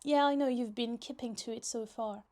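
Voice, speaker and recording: A woman's voice: fairly high pitch, steady tone, moderate pace, darkish tone, natural voice. A woman playing a possessive, lovesick yandere young woman in a roleplay ASMR, teasing, pouty and dramatic in casual, conversational speech. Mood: affectionate, clingy.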